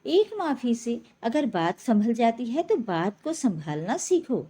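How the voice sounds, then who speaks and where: A woman's voice: fairly high pitch, very animated, unhurried, mellow, breathy edge, exaggerated voice. A woman voicing an older mother-in-law in a spoken story, coaxing and admonishing. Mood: coaxing admonishment. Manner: admonishing, persuasive.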